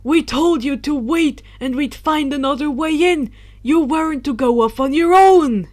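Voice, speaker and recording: A woman's voice: sits high, moderate pace, darkish tone, pitched above the performer's own voice, close to an everyday voice. Old wizard headmaster read by a woman, stern yet kindly, worried, raised female storybook voice. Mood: scolding. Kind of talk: audiobook character voice.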